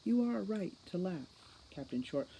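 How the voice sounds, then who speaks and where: A man's voice: sits high, sing-song, a little slow, creaky, warm tone, breathy edge, pitched above the performer's own voice, heavily acted, rough recording. A man voicing a smug, cold, precocious boy criminal mastermind in an audiobook, with formal, sardonic condescension. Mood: condescending calm. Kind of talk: audiobook character reading.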